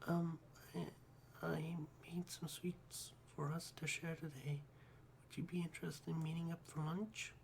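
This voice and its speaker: A man voicing a shy, nervous schoolgirl who talks softly and hesitantly, almost whispering. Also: fairly high pitch, flat delivery, a little slow, a little hiss or hum. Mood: timid. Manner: soft, hesitant, breathy near-whisper.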